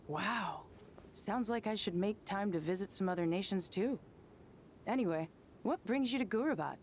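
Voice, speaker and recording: A woman's voice: mid-range voice, expressive, normal speed, slight background noise, close to an everyday voice. A woman playing a confident, wry young desert adventurer in a video game, with a breezy, casual manner. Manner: breezy, casual, self-assured. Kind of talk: video game character acting.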